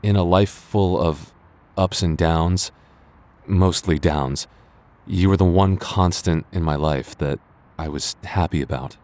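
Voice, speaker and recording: A man playing a flustered, defensive young man secretly in love with his childhood friend, speaking haltingly with stammers in a romantic audio roleplay. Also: low-pitched, darkish tone. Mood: downcast, confessing.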